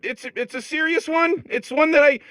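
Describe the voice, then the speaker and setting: A extremely high-pitched little male robot from a comedy game. He is timid and worried, tripping over syllables as he rambles. The sound is dry, with no room around it. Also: normal speed, fairly bright tone, dry recording. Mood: worried, rambling. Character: anxious, timid, stammering male robot core. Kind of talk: comedic video game voice acting.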